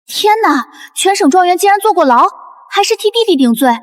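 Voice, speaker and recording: A scandalized woman onlooker in a short drama. Long reverb trails her very high, exclamatory voice. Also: expressive, fairly quick. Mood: shocked. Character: shocked, gossipy female onlooker at press conference.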